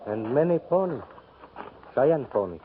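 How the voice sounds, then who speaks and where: A man acting as a solemn, mournful scout who speaks plain, halting broken English in a 1950s radio western. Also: mid-range voice, big pitch swings. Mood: watchful. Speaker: supporting actor in a radio western. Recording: muffled 1950s radio recording, faint noise.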